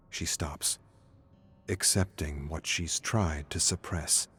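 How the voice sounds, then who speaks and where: Male narrator, low pitch, slow pace, solemn, dramatic horror storytelling, noisy backdrop. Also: gravelly.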